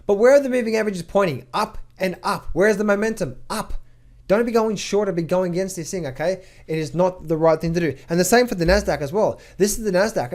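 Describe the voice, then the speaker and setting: A man working as a trading coach gives an emphatic market update over charts, firing rhetorical questions, answering them himself and repeating words in quick bursts. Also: high-pitched, a little mellow.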